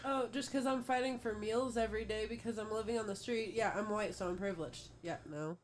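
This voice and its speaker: A woman's voice: mid-range voice, steady tone, brisk, slight background noise. Ranting woman co-host from the Midwest. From American Midwest. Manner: emphatic, repetitive, ranting. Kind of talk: casual opinionated chat.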